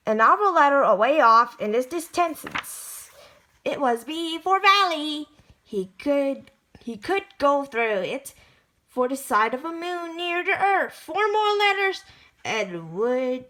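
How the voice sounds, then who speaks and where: A woman reading a children's picture book aloud, storytelling with lively emphasis and the occasional stumble. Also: sits high, slow pace.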